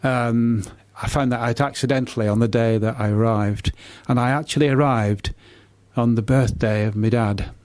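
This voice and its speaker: Middle-aged male radio guest, writer, mid-pitched, hesitant and repetitive in unscripted answers, recorded dry. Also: steady tone, darkish tone, no room sound. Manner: hesitant, halting, often repeating words. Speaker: author and poet being interviewed.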